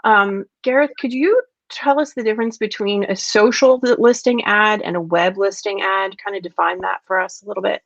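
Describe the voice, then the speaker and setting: A woman's voice: mid-range voice, steady tone, moderate pace, vocal fry, few pauses, no room sound. A woman hosting a webinar in casual, guiding talk. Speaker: webinar host. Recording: dry, slightly dull online audio.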